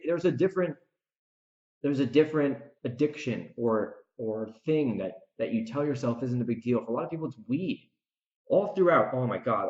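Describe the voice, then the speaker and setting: An American man hosting a solo podcast, ranting casually and emphatically, speaking straight to the listener with strong opinions. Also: a little high. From United States. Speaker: podcast host and author. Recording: dull, echoey room recording.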